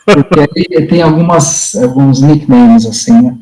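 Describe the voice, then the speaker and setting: A man's voice: mid-range voice, a little slow, warm tone, echoey room, poor audio. A man in a casual study-group discussion on a video call, talking hesitantly with many restarts and fillers. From Brazil.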